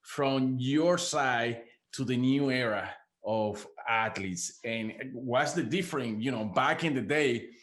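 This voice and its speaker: A man from Venezuela hosts a podcast interview, speaking with a Venezuelan Spanish accent. He talks with enthusiasm, repeats words as he goes and laughs often, at a middling pitch. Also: slow, hardly any pauses, fairly bright tone. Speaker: podcast host. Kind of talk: casual interview.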